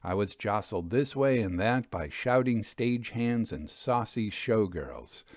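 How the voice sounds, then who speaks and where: A man reading a period mystery story as scripted narration, in a measured, literary and expressive manner. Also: on the low side, no room sound, studio quality.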